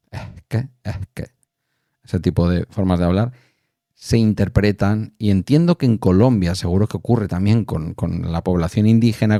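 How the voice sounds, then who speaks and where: Guy hosting a podcast, real low voice, rambles on, dry close sound. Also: warm tone, dry recording. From Spain. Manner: rambling, thinking aloud with asides. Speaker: podcast host. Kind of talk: casual chat.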